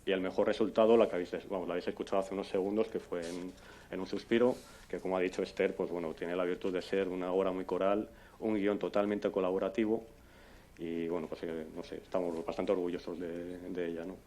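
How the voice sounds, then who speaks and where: A man's voice: low-pitched, little pitch movement, fast talker, mellow. A man with an accent from Spain giving an informal conference talk, hesitant and conversational. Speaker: conference speaker, amateur audio drama scriptwriter. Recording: faint background noise, live room ambience.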